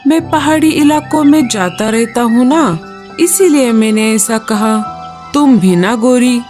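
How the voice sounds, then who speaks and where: A woman's voice: fairly high pitch, expressive, measured pace, a little mellow, soft background music. A woman voicing Kalu, a friendly and helpful male crow in a cartoon, eager and reassuring. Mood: kindly.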